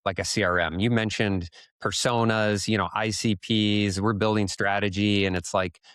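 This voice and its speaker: A man's voice: on the low side, steady tone, moderate pace, fairly bright tone, smooth, no echo, studio quality. A man co-hosting a podcast, thinking aloud in long conversational sentences that lead into questions. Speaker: podcast co-host. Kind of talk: conversational commentary leading into questions.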